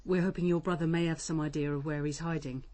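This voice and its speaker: An actress playing a composed, insistent police detective in a radio drama. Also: female voice, deep voice, steady tone, fast, a little mellow, natural voice.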